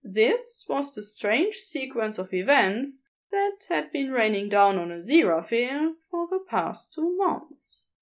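Expressive, slow-paced woman reading a story. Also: on the high side. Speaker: podfic narrator. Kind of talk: scripted narration, storytelling.

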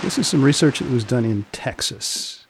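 A man hosting a research podcast, putting questions in a deliberate, deadpan way with a husky, gravelly voice. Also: medium pitch, soft background music, low-quality recording. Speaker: podcast host and magazine editor. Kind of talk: podcast interview about research papers.

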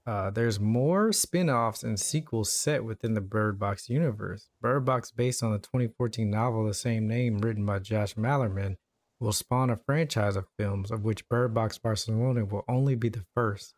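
A man's voice: fairly low pitch, normal speed. A man hosting a podcast, casually chatting and reading out entertainment news. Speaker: podcast host.

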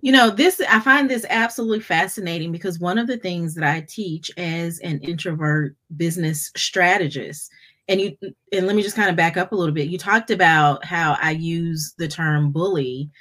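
A woman's voice: on the low side, normal speed. Earnest woman in her fifties hosting. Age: 50s. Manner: earnest, repeats words when excited. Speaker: podcast host. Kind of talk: conversational interview.

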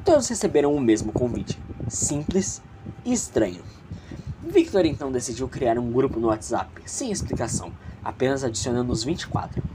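A man's voice: mid-range voice, big pitch swings, moderate pace, noisy background. A man with a Brazilian accent narrating an audio drama theatrically, with casual, playfully snobbish asides.

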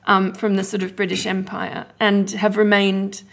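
A woman's voice: medium pitch, monotone, moderate pace, a bit bright. A woman interviewer asking questions in a conversational, tentative way.

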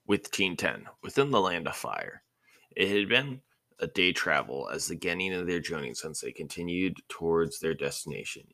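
Very deep, gravelly male story narrator. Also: big pitch swings, moderate pace, pushed and bright, no room sound. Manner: stumbles over words and rereads phrases. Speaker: reader of a fan fiction audiobook. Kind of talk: scripted narration.

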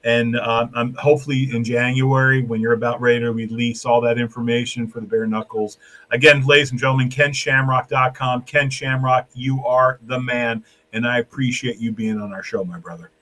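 A man's voice: medium pitch, flat delivery, moderate pace, a bit bright, poor audio. Effusive talk show host, a man. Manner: effusive, folksy, loose. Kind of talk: casual talk show hosting.

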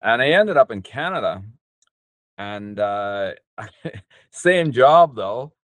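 An older man, telling his personal story as a podcast guest in a hesitant, unhurried way with pauses. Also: on the low side, very animated, dry recording. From Northern Ireland. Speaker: podcast guest, business coach.

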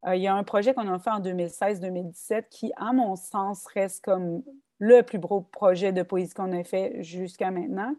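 A woman's voice: medium pitch, no room sound, studio quality. A woman with a Québécois French accent, a guest author talking thoughtfully about poetry in a casual interview. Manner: thoughtful, animated, many restarts and fillers.

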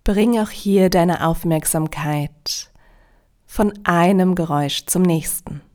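Woman doing guided yoga nidra, really slow, lowish voice, calm and soothing, lots of pauses. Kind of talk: guided relaxation meditation.

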